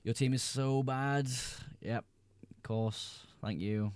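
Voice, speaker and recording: A man's voice: medium pitch, fairly even intonation, slow, darkish tone, smooth. A young man hosting a casual livestream, reading viewers' chat messages aloud and answering them in a laid-back way. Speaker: livestream host.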